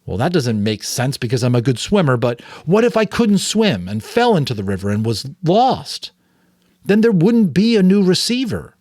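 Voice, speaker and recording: A man's voice: fairly high pitch, big pitch swings, normal speed, a little mellow, dry recording, studio quality, pitched above the performer's own voice. Man voicing an eager, earnest adolescent boy. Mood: anxious, insistent. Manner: eager, questioning. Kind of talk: audiobook character reading.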